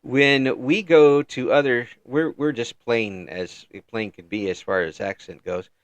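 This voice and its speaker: A man's voice: medium pitch, steady tone, a little slow, no room sound. An older man from Illinois hosting an interview in a friendly, folksy way, chuckling between remarks. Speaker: interview host. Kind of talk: casual interview chat.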